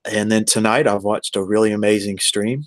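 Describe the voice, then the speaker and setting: A man's voice: on the low side, steady tone, moderate pace, dry recording. An American man in his forties chatting casually on a livestream, rambling and addressing viewers one by one. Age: 40s. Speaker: live streamer.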